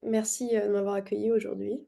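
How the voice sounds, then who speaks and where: A woman of thirty with a French accent from France, talking casually as a podcast guest. Her voice is clearly creaky and soft-toned, in a middle register. She often stops and rewords her sentences. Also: mid-range voice, little pitch movement, fast. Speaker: podcast guest, engineer and investing content creator. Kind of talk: casual interview chat.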